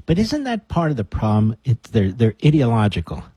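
A man's voice: mid-range voice, huge pitch swings, fairly quick, warm tone, slightly rough, no room sound. A man hosting a radio interview who asks probing questions, often restarting his sentences. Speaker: radio host.

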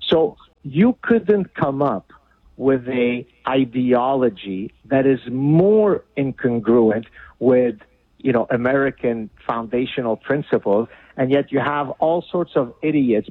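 A man whose first language is Arabic speaks as a guest over a thin, phone-like line, his voice a little husky. He argues bluntly and with emphasis. The sound is dry and close. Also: mid-range voice, dry recording. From Lebanon. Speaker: guest author. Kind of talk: radio interview.

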